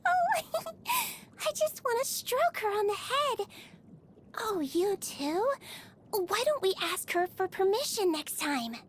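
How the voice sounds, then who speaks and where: A woman playing a small, sweet, earnest creature who works as a nurse in a video game, gentle and bubbly with an innocently clinical manner. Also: very high-pitched, a little hiss or hum. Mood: fond, sweet. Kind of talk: video game character voice lines. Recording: music behind the voice.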